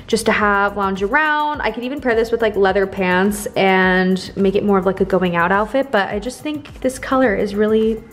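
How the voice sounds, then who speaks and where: A woman's voice: medium pitch, moderate pace, hardly any pauses, faint music behind. A young woman in her twenties chatting casually to her camera, bubbly and full of "like", filming at arm's length in her apartment. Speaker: vlogger. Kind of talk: casual vlog chat. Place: bright home apartment, later inside a car. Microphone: handheld camera at arm's length.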